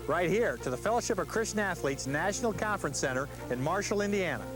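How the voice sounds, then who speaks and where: A male commercial announcer with a slightly high voice delivers scripted ad copy. Clear music plays behind him. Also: low-quality recording. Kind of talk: scripted ad narration. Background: music bed.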